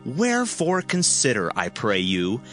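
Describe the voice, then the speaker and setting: Indignant king, agitated, declamatory, swinging pitch, faint music behind. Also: wildly swooping pitch, measured pace, a bit bright. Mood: indignant alarm. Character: distraught, indignant male king of Israel. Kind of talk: dramatized scripture acting.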